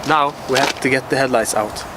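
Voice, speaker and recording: A man's voice: medium pitch, brisk. A man giving casual running commentary as he repairs his car outdoors, swearing freely and talking to himself. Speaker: vlogger doing car repairs. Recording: loud outdoor noise.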